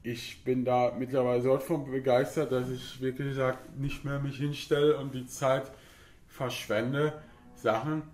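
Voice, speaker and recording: A man's voice: mid-range voice, a little mellow. A man in his thirties from Germany talks through car parts in a home garage. He has a cold and fills his sentences with plain hesitation sounds. His mid-range voice rings in an echoey workshop, picked up by a nearby camera mic. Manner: talkative, frequent filler sounds, matter-of-fact. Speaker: car hobbyist YouTuber. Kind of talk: casual explanatory vlog.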